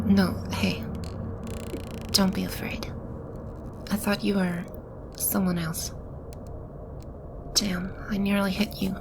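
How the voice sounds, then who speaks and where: A woman playing a gentle, protective demon in an ASMR roleplay, speaking softly and soothingly with a breathy, whispery delivery. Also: mid-range voice, background noise. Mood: wary, startled. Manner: soft, unhurried, soothing reassurance. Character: gentle protective female demon sheltering a lost child. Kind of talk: ASMR roleplay, soft-spoken with whispery passages. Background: ambient sound effects.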